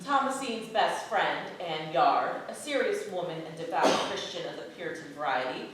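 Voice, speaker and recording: Woman narrating a play, medium pitch, super echoey, mic far away, bit of hiss. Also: animated, normal speed. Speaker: actor reading a play synopsis aloud. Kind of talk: theatrical scripted narration. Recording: echoey hall from far off, faint noise.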